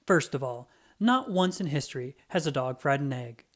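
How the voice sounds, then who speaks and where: A narrator acts out a haughty female storybook know-it-all. The voice is emphatic and dismissive, lecturing with widely swinging pitch. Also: expressive, normal speed, natural voice.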